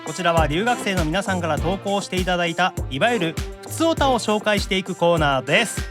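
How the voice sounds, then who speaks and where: A talkative man with a Kansai accent hosting a podcast in lively comedic banter, quick with teasing retorts. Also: high voice. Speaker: radio show host. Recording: studio voice over background music.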